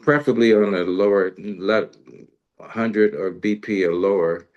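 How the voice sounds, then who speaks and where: Low-voiced man chatting casually. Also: unhurried, highs cut off. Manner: rambling, colloquial, slangy. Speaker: music radio show host and musician. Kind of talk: casual interview chat.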